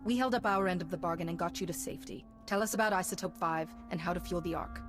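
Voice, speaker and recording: A woman playing a calm, resolute Jedi heroine in a video game, speaking with composed firmness. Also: lowish voice, quick pace, natural voice. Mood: firm. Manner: composed, firm. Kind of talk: video game cutscene acting. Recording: music playing behind.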